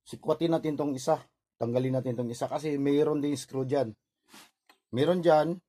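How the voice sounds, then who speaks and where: A man's voice: a little high, fairly even intonation, normal speed, warm tone, no room sound. A man with a Filipino accent narrating an informal hands-on repair tutorial in short, step-by-step instructional phrases. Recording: noisy recording with clicks.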